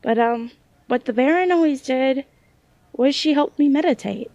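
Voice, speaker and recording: A hesitant young woman played by an actress in an audio drama, with a fairly high voice. She speaks haltingly and doubts herself. The sound is dry and a little dull. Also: darkish tone, no echo. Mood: unsure, explaining. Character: hesitant young woman with unreliable psychic sight. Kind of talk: naturalistic audio drama dialogue.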